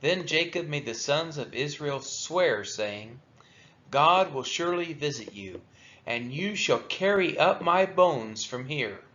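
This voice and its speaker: A man reading scripture aloud for a daily read-along, with measured, solemn and deliberate phrasing. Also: fairly high pitch, expressive, a bit bright. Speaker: Bible reader for a church daily read-along. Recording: dull, lo-fi sound.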